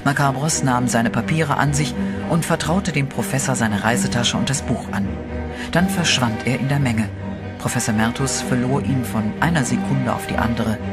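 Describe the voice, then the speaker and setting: A man narrating a horror radio play in a steady, dramatic storytelling voice, with eerie music behind him. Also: medium pitch, normal speed.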